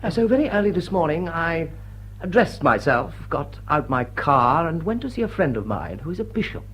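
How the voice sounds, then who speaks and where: An actor playing a smitten English aristocrat in a radio play, with an upper-class accent and an urbane, drily witty, tender manner. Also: male voice, a little high, big pitch swings, moderate pace, fairly bright tone, slightly rough, exaggerated voice, low-quality recording. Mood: wry confessing. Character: smitten, wry English aristocrat lord wooing a woman. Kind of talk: British radio play acting.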